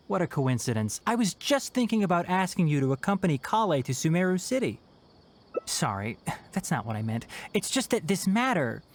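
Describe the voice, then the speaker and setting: A young man playing a composed, caring researcher and mentor in a video game, speaking in a measured, thoughtful way. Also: on the high side, slight background noise. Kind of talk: scripted video game dialogue. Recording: music behind the voice.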